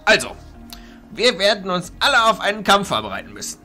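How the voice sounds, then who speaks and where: A man playing an elderly, authoritative arch-chancellor of illusionists in a fantasy audio drama, speaking in a theatrical, deliberate way. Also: high voice, sing-song, bright, forceful tone, soft background music, exaggerated voice. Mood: commanding, grave.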